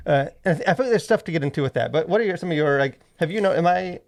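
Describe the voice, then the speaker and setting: Wry man with a creaky voice. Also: a little high, expressive, rapid-fire. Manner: wry, jokey, rambling asides. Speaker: podcast co-host. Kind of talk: casual conversational podcast commentary.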